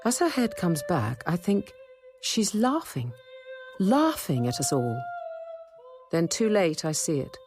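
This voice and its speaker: An actress playing a watchful, compassionate middle-aged nun, narrating her story in a measured, intimate radio drama style. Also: lowish voice, big pitch swings, full character voice. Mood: tense, observant. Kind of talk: scripted first-person radio drama narration. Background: music.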